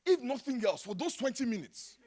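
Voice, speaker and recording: A middle-aged Nigerian man preaching a sermon, dropping to a hush and then rising to loud exclamations. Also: very high voice, big pitch swings, a little fast. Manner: dramatic, swings from hushed to shouting. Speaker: pastor.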